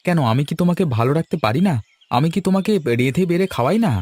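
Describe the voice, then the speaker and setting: A man's voice: on the high side, expressive, fast, a little mellow. A man voicing a patient, put-upon adult son in a cartoon, placating and mildly protesting. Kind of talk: cartoon dialogue acting.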